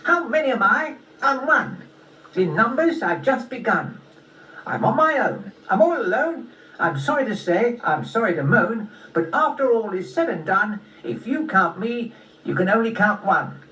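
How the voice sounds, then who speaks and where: A woman's voice: medium pitch, expressive, moderate pace, fairly bright tone, pitched above the performer's own voice, close to an everyday voice. A woman voicing a busy, grumpy squirrel in a children's story, with a mocking, impatient manner. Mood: singsong, loud. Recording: roomy, distant, faintly noisy recording.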